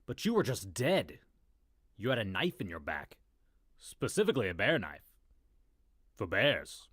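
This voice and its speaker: Man acting a baffled husband, wildly animated. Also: fairly low pitch, measured pace, husky, dry recording, studio quality, full character voice. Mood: shocked. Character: baffled, awkward husband and dinner guest, protesting. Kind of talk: comedic suspense audio drama.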